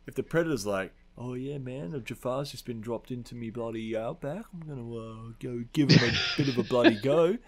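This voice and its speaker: A man, co-hosting a podcast in a casual, animated, rambling and sweary debate about science fiction. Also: mid-range voice, fairly quick, hardly any pauses, a crowd in the background. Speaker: podcast co-host.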